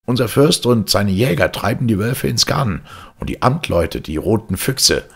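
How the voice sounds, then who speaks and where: A man voicing an angry village blacksmith who scolds his lord, in a raised, higher voice than his narration. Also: expressive, normal speed, gravelly, a bit bright, breathy edge, full character voice. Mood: scolding.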